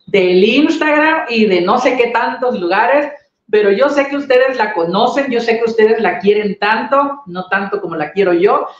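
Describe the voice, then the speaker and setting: A middle-aged man hosting a casual video-call interview, playful and teasing. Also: high voice. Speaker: host. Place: small room indoors. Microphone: webcam on a video call. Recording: dull, roomy webcam sound.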